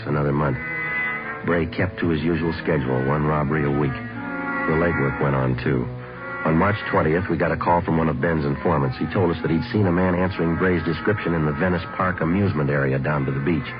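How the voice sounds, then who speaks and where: American radio cop, super deep flat businesslike delivery, muffled old recording. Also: male voice, brisk. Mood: deadpan, factual. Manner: clipped, deadpan. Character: terse, businesslike male police sergeant detective. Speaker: narrator and police detective. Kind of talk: 1950s radio drama narration and acting. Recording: dull old radio recording, music behind.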